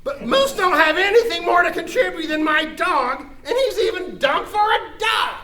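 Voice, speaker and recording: Woman playing a brash, high-pitched, bright lady interviewer. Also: animated, normal speed, exaggerated voice. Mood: exasperated. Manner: sarcastic, crude, calls people honey. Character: brash, impatient, frustrated female interviewer. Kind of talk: comedic radio play acting.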